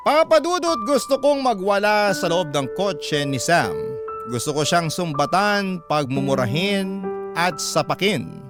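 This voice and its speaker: A man reading a first-person love letter as a radio storyteller, with emotive, deliberate phrasing. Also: sits high, expressive, loud music behind the voice. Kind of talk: dramatic scripted narration.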